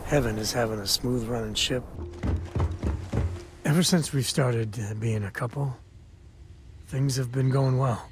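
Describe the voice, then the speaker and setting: Slow-talking, earnest space cowboy played by a man. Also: mid-range voice, close to an everyday voice. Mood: earnest, hesitant. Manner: halting, earnest, sheepish. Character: rugged space cowboy, sincere, bashful, affectionate single father. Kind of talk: intimate scripted game dialogue. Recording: music behind the voice.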